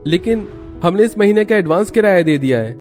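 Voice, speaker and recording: A man's voice: high voice, lively intonation, brisk, a little mellow, faint music behind, pitched above the performer's own voice. A man voicing a mild, matter-of-fact family member in a cartoon drama. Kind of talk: Hindi cartoon dubbing.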